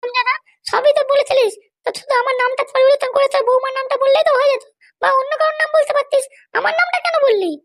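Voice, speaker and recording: A woman's voice: very high-pitched, fairly even intonation, very fast. A woman voicing a hot-tempered, foul-mouthed wife who is running for election in a comedy cartoon, with a scolding, threatening manner. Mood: furious, threatening. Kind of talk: amateur comedy cartoon dialogue.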